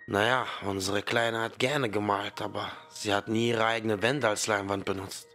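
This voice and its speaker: Man as grieving dad, gravelly and low, measured. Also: fairly even intonation, bright, forceful tone, faint music behind. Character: grieving father of a missing girl, calm. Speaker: father of missing daughter. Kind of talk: fan audio drama acting.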